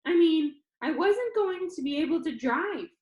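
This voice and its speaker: A woman's voice: very high-pitched, expressive, a little slow, darkish tone, voice pushed up. A woman voicing a cheerful, jokey yet sincere teenage boy video-maker in a novel read aloud. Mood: earnest. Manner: exuberant, playful, earnest. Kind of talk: children's novel read-aloud, character dialogue. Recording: echoey room.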